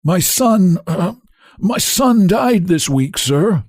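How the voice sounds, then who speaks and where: A man voicing a meek, grieving old sledge driver who speaks huskily and haltingly. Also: high-pitched, lively intonation, a little mellow, no echo, studio quality, pitched above the performer's own voice, heavily acted. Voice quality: husky. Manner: halting, hesitant, with fillers.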